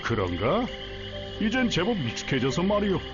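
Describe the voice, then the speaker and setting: A man's voice: sits high, huge pitch swings, normal speed. A man voicing a talkative, rustic middle-aged harbor villager in a Korean game story, with familiar, blunt speech. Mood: chatty, proud. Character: chatty, folksy middle-aged male port villager. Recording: dull sound under music.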